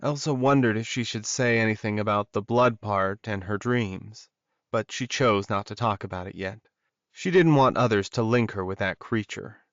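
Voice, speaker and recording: A man's voice: fairly low pitch, normal speed, a bit bright, no room sound, dull audio. A man reading scripted fiction as an audiobook narrator.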